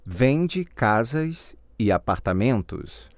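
A man reading model answers in a language course drill, slow and clearly enunciated. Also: medium pitch, big pitch swings, no room sound.

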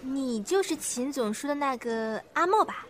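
An actress playing a chirpy, gossipy young office worker in an audio drama. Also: female voice, high-pitched, lively intonation, normal speed, a faint crowd, a little hiss or hum. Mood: helpful. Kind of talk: Chinese amateur audio drama acting.

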